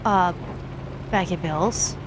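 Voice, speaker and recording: A woman's voice: fairly low pitch, takes it slowly, background noise. An actress playing a spirited, foul-mouthed teenage girl with an American accent in a video game cutscene, cheeky, sarcastic and playful. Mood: casual, cheeky.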